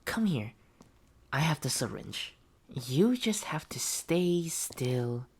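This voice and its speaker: A woman's voice: deep voice, lively intonation, slow pace, a little hiss or hum. A woman voicing a betrayed, vengeful test subject in a horror audio roleplay, coaxing and menacing, with hurt close to the surface.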